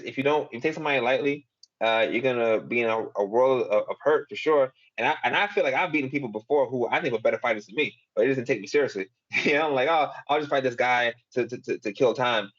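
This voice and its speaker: Fast-talking fighter with muffled audio. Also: male voice, mid-range voice. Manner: rambling, quick, lots of fillers and laughs. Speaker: MMA fighter. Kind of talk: casual interview answers.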